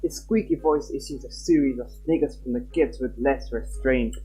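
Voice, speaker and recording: A streamer reading a visual novel aloud live and chatting casually with viewers. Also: male voice, mid-range voice, husky. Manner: animated, chatty, quick laughs.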